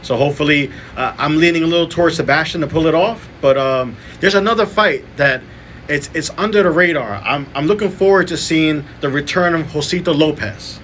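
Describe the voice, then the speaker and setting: A man's voice: fairly high pitch, fairly quick, a bit bright, slight background noise. A man hosting a podcast, chatting casually as he runs through fight lineups and picks. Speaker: podcast host. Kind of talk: casual boxing podcast talk.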